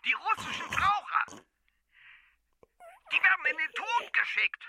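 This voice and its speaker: Radio drama casting: urgent, agitated warner, very bright, tense voice with a gravelly edge. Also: very high-pitched, sing-song, unhurried, a little hiss or hum, heavily acted. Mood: alarmed. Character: alarmed, insistent person warning of doomed divers. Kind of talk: German radio drama acting.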